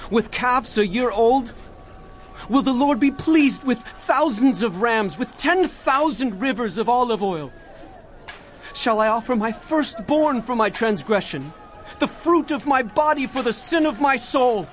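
High-voiced, earnest biblical prophet. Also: male voice, slight background noise. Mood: urgent, impassioned. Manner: solemn, declamatory. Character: earnest male biblical prophet proclaiming to his people. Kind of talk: dramatized audio Bible. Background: dramatic music.

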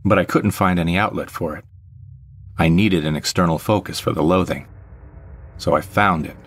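A man's voice: low voice, moderate pace, a little hiss or hum, close to an everyday voice. A man playing a brooding, vengeful jilted ex-husband, narrating an audio drama in the first person with melodramatic deliberation.

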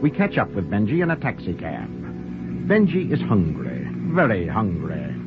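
Tinny 1940s broadcast, male announcer, wry theatrical narration, lively intonation, loud music behind. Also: fairly low pitch, normal speed. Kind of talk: scripted 1940s radio narration.

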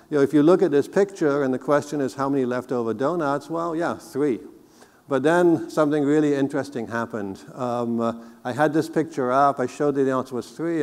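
A middle-aged man giving a conference keynote on a technical subject, explaining in a measured, deliberate way. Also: medium pitch, darkish tone. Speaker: keynote speaker. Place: large indoor conference hall, on stage. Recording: reverberant hall sound.

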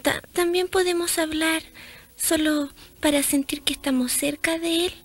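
High-voiced, devout little girl. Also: fairly even intonation, moderate pace. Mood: earnest, childlike. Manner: hesitant, childlike, repeats word starts. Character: gentle, devout little girl teaching prayer. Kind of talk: radio drama acting.

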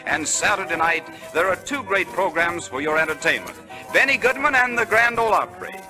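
Bright, forceful 1930s radio ad man. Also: animated, fairly quick, soft background music, low-quality recording. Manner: polished sales pitch. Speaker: radio announcer. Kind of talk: 1930s radio advertising announcing. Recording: old 1930s radio broadcast recording.

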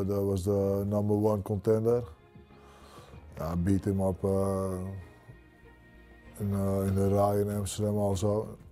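Dutch guy in his 30s, confident fighter talk, lots of uh's, lowish voice, lapel mic in a studio. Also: dark tone. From Netherlands. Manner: confident, halting with many fillers. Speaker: kickboxer. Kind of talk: pre-fight interview. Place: studio with branded backdrop.

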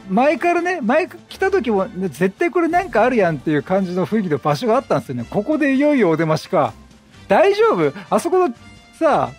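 A man doing excitable, chatty game commentary, reacting aloud and bantering with the characters. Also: very high-pitched. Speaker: gaming streamer, let's play host.

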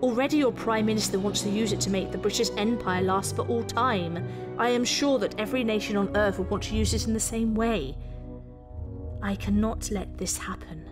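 A woman's voice: medium pitch, music in the background, natural voice. A woman voicing a stern, commanding female reptilian detective with an imperious manner, in an audio story. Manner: slow, imperious.

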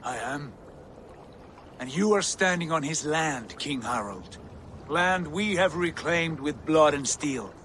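An ambitious Viking warrior speaks in grand, deliberate phrases for a video game. The voice sits a little high and takes its time. Faint water ambience runs behind it. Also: male voice, expressive, fairly bright tone, a little hiss or hum. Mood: challenging. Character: proud, ambitious Viking warrior prince, hungry for glory. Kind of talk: dramatic video game acting.

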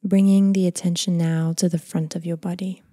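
Soft, breathy, mellow woman guiding meditation. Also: fairly low pitch, no echo, studio quality. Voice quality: soft, breathy, often near whisper. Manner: gentle, unhurried, calming delivery with long phrases trailing off. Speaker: meditation guide. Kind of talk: guided body scan meditation, slow and soothing.

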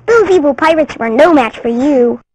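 A woman's voice: high-pitched, expressive, normal speed, darkish tone, no echo. A woman playing an eager, admiring little boy in a cartoon game, with a childlike, earnest delivery. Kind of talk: cartoon video game acting.

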